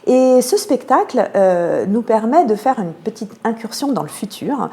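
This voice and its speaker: Soft-toned French woman explaining in an interview. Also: on the high side, very animated, unhurried. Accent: French from France. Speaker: theatre programme manager, interview guest. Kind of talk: explanatory radio interview answers.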